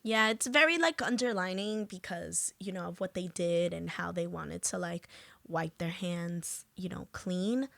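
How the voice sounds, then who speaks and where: A woman hosting a podcast, talking casually in a slow, halting way with lots of fillers. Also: on the low side, creaky, fairly bright tone, no echo. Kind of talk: casual conversation.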